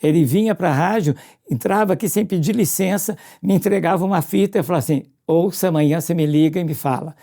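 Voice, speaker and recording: Older brazilian radio guy telling stories, soft dark tone, relaxed chat. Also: high-pitched, quick pace, vocal fry. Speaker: radio presenter and music programmer. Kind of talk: casual reminiscing in an interview.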